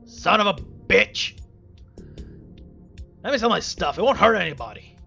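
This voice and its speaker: A defiant street dealer put on by a man in a visual novel, loud and raised in pitch. He is aggressive and sneering, in dull audio with music behind. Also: expressive, a little slow, gravelly, heavily acted. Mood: angry, defiant. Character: hostile, defiant male drug dealer.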